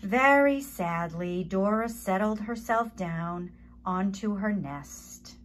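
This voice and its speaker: A woman storyteller reading a children's story aloud in an expressive, deliberate manner. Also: medium pitch, a little hiss or hum.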